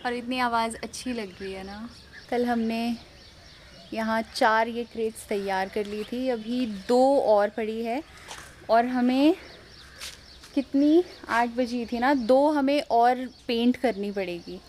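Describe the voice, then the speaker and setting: A young woman chats to her viewers in a fairly high, easy voice, explaining as she goes. She is recorded on a handheld camera out on an open rooftop terrace, with clear outdoor noise all around her. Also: slow, a little mellow. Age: young adult. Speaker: vlog host. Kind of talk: casual chat to camera. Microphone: handheld camera at arm's length. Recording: noisy outdoor ambience.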